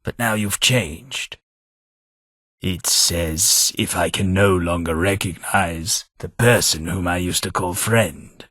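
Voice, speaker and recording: An actor playing a polite, wry British ghoul servant, centuries old, in a video game, with a husky voice. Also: male voice, low voice, slow pace, sharp tone, dry recording, clean studio sound, exaggerated voice. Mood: disappointed. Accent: British English.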